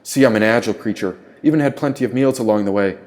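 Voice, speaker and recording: A man's voice: medium pitch, fast, a little mellow, lightly acted. A man voicing a wise, athletic opossum mentor in an audiobook, earnest and proclaiming, with grand philosophical turns. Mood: cheerful bragging.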